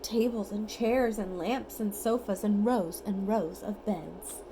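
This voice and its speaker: A woman reading a bedtime storybook aloud in an expressive, child-directed way, on an amateur home recording. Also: mid-range voice, takes it slowly, background noise. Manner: animated, child-directed, asks the child simple questions.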